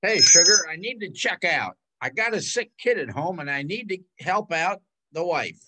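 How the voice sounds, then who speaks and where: A man's voice: high-pitched, animated, normal speed, pushed and bright, full character voice. An older man playing a shady, tough-talking cheating husband in an old-time radio crime drama, recorded on a video call. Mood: slick. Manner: slangy tough-guy talk. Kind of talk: 1940s radio drama acting. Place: home room, video call. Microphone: computer mic on a video call.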